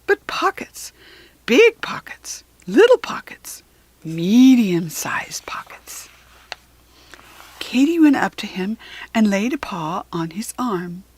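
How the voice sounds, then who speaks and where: A woman reading a children's story aloud with expressive, deliberate phrasing and dramatic emphasis. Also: mid-range voice, breathy edge.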